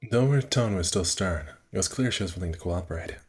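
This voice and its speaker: A man with a low, slightly rough and husky voice reads story narration aloud for a casual game stream. He stumbles now and then and drops into offhand asides. Soft game music plays behind him. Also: expressive, fairly quick. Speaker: streamer reading a visual novel aloud.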